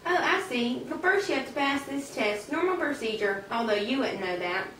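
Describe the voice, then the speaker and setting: A woman acts out a condescending registration clerk for a puppet show. She sounds officious and patronizing, in a roomy amateur recording with clear noise. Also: sits high, lively intonation, normal speed, fairly bright tone, distant mic, pitched below the performer's own voice. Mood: smug, dismissive.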